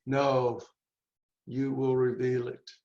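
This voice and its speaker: A man performing an anguished, intense young prince in a Shakespearean dramatic reading, declaiming haltingly and dwelling on words. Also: medium pitch, little pitch movement, a little mellow. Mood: excited, guarded. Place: indoors on a video call. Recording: roomy, echoing, muffled video call audio.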